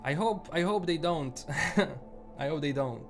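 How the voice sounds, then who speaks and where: A man's voice: a little high, animated, a little slow, a little mellow, soft background music. A man with a Bosnian accent chatting casually on a live stream, rambling and restarting his sentences often. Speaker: livestream host.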